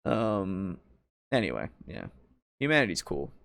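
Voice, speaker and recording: A man's voice: sits low, wildly swooping pitch, measured pace, vocal fry, a bit bright, no echo, studio quality. A man talking casually as a podcast co-host, rambling and thinking aloud with some hesitation. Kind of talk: casual discussion.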